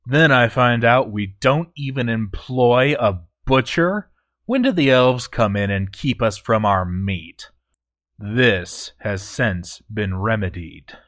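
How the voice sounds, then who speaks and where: A man voicing a proud, stern dwarf overseer in formal, measured, scripted storytelling narration. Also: medium pitch, a bit bright. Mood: brisk, disapproving. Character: proud, stern male dwarf fort overseer, grandly dutiful. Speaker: narrator reading a fort overseer's journal.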